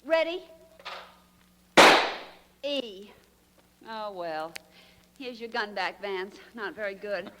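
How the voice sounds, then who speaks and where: An actress playing a spunky, wisecracking American detective's sidekick in a radio drama, sassy and slangy. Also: female voice, medium pitch, expressive, takes it slowly, long pauses. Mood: perky, wry. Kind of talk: old-time radio detective drama acting. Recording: faintly noisy, clicking old radio recording.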